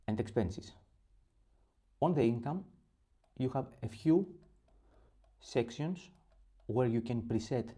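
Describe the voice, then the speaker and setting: A man from Greece speaking English as his second language with a Greek accent, walking through a spreadsheet template in a halting tutorial full of pauses and fillers. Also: mid-range voice, dark tone. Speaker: template creator. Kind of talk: screen-recorded software tutorial.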